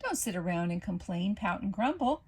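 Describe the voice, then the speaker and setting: A woman's voice: mid-range voice, big pitch swings, moderate pace, a little mellow, husky. A woman voicing a bumbling female inventor professor in a storybook, talking in a chirpy sales patter. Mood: salesy. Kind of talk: storybook character voice.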